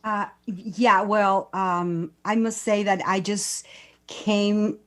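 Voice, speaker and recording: A woman's voice: mid-range voice, steady tone, very slow, fairly bright tone. A woman from Mexico City with a Mexican accent, speaking English as a second language and searching for words on a panel. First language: Spanish. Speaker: education and culture promoter. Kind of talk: panel discussion.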